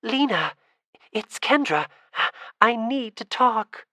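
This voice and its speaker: Woman voicing a stubborn teenage girl, raised pitch. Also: lively intonation, moderate pace, fairly bright tone, dry recording, studio quality, lightly acted. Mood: pleading. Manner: earnest, pleading, turning defiant.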